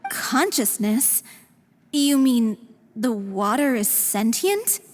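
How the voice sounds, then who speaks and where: An actress voicing a blunt, sceptical, sombre young troupe member in a video game, frank and pointed. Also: female voice, a little high, very animated, slow pace, slight background noise. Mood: puzzled. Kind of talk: English video game voice acting.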